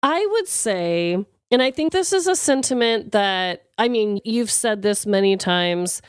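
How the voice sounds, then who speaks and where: A woman co-hosting a podcast in an expressive, informal chat with listeners and her co-host. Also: medium pitch, unhurried, clear, resonant tone, dry recording, studio quality. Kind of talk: casual chat, reading listener questions.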